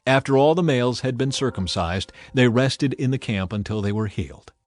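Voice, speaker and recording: A man's voice: medium pitch, animated, normal speed. A man gives a solemn, declamatory narration in the style of a dramatized audio Bible. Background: background music.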